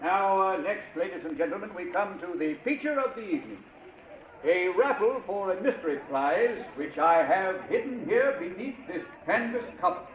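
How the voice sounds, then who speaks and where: High-voiced showy auctioneer, tinny recording. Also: male voice, animated, moderate pace. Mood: teasing salesmanship. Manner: theatrical, coaxing showman's patter. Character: showy, jovial charity auctioneer working a crowd. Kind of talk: 1940s radio acting. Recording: tinny, echoey, noisy old radio recording.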